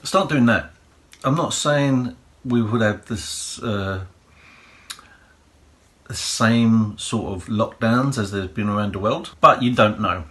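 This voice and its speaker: A man with a slightly low, croaky voice talks through practical preparation tips in a loose, rambling way. He hosts his own prepping channel, and his casual delivery leans on fillers and "you know" as he explains. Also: takes it slowly, a bit bright. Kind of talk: casual advice monologue.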